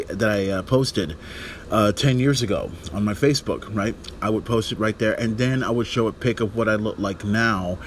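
A man's voice: on the low side, a little hiss or hum. A man in his forties hosting a podcast, talking in a casual, rambling, emphatic way. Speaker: wrestling podcast host.

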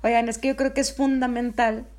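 A woman with a Mexican Spanish accent hosts a relaxed conversation, teasing her guest and walking through points with homely examples. Her voice sits a little high for a woman, and she keeps a loose, chatty rhythm. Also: brisk. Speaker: podcast host, former teacher of the guest. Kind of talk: casual chat.

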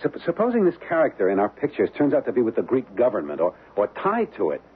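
A very husky young American husband in an old radio thriller. He is uneasy and hesitant, stammering through plain slangy talk with a lively rise and fall. The sound is a narrow, muffled vintage broadcast. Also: mid-range voice, fast talker, heavily acted. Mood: worried, reluctant. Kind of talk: old-time radio drama acting.

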